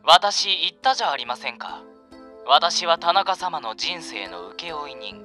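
A man's voice: fairly high pitch, very animated, music in the background. A man voicing a sly, overly polite double who has taken over another man's life, in an amateur radio drama. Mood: menacing calm. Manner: smooth, overly polite, slowly menacing.

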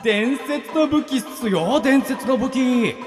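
Squeaky, goofy echoing king. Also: takes it slowly. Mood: hyped. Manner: silly, slangy, cutesy. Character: goofy, childishly flippant male king. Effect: heavy reverb. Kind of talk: comedic radio drama acting. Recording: reverberant, music behind.

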